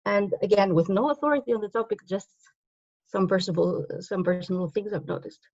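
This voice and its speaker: A woman's voice: medium pitch, brisk, a little mellow, no room sound. Greek-born woman, native Greek speaker, conference presenter; loose, um-filled delivery, dry and slightly muffled recording. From Greece. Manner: conversational, frequent ums and self-corrections. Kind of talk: conference talk with slides.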